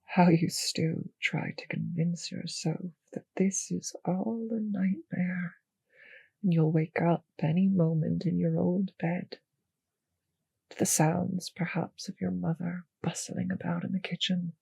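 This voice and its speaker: Slow, breathy vampire mistress, acted by a woman. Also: on the low side, flat delivery, dark tone, no room sound, exaggerated voice. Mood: menacing, intimate. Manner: slow, teasing, condescending purr with whispered phrases. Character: cruel, possessive, seductive female vampire mistress toying with servant. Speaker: voice actor in an audio roleplay. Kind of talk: intimate scripted audio roleplay.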